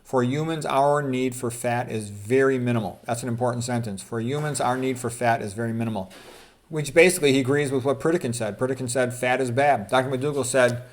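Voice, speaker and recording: A man presenting as a physician, reading quotes aloud in an informal health lecture and adding emphatic, opinionated comments, repeating points for stress. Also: medium pitch.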